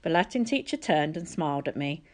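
A woman's voice: low voice, sing-song, fairly quick. A woman narrating a first-person story from a book as an audiobook reader.

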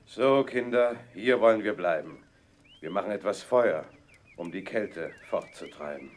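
A poor, soft-hearted woodcutter father in a fairy-tale audio play. His voice is low, heavy and plaintive. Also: animated, a little slow, slight background noise. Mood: fatherly. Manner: heavy, plaintive rhymed lines.

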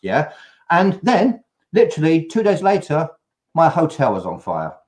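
An older man hosting a live video-call chat, telling a travel story with lively, anecdotal energy. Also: fairly high pitch, normal speed. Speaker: host. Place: home room, video call.

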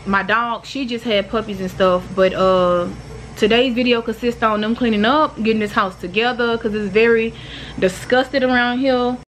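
A woman's voice: a little high, steady tone, normal speed, slight background noise, poor audio. Rambling Southern woman vlogging at home. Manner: rambling, loose, scolding her kids. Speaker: vlogger, single mother. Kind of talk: casual home vlog chat. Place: apartment living room and kitchen, indoors. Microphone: handheld phone camera.

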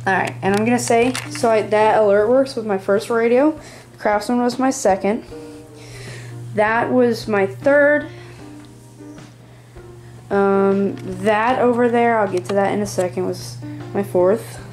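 A hobbyist giving a casual, rambling show-and-tell in a small room at home, speaking haltingly near a handheld camera. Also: mid-range voice, darkish tone, faint music behind. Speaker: hobbyist presenting a radio collection. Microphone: handheld camera close by.

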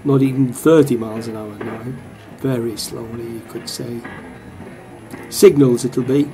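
An actor playing a wistful middle-aged football supporter, musing to himself in a radio play. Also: male voice, medium pitch, steady tone, unhurried, vocal fry, over music, rough recording. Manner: reflective, talking to himself.

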